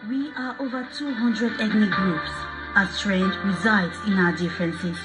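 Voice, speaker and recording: A woman from Cameroon reciting a solemn message of peace in a measured, declamatory way. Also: medium pitch, rough recording. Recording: spoken over a loud music bed.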